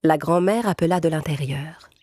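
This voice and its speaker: A woman's voice: low-pitched, moderate pace, darkish tone, faint music behind. A woman narrator reading a scripted fairy tale for children.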